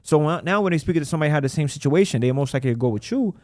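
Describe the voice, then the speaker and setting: Fast-talking man, animated and casual. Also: on the high side, no echo, studio quality. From United States. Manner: animated, acts out callers in quick voices. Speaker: credit repair business owner. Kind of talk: casual interview talk.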